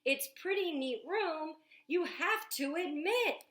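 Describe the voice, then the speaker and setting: An imaginative young boy proudly leads a tour of his invented house, as a woman reads him aloud. The storybook rhymes bounce along slowly, high and swooping. The sound rings in an echoey room. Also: animated, fairly bright tone, heavily acted. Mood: proud, excited. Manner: bouncy rhyming read-aloud, stretched words.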